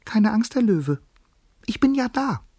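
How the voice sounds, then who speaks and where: A man voicing a tiny, timid storybook mouse with a thin squeaky voice, stammering and pleading. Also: very animated, unhurried, dark tone, breathy edge, no room sound, clean studio sound, heavily acted. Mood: grateful, reassuring.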